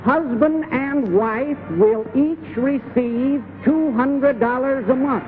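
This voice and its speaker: Slow high-voiced pension promoter. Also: male voice, narrow, tinny audio. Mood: earnest. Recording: thin, noisy old archive recording.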